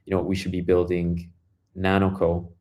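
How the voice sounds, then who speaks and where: Brooklyn-accented man, low register, guest founder, relaxed storyteller who restarts his sentences. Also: moderate pace. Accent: Brooklyn New York. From Brooklyn, New York, US. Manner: loose storytelling with many fillers and restarts. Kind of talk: casual interview conversation.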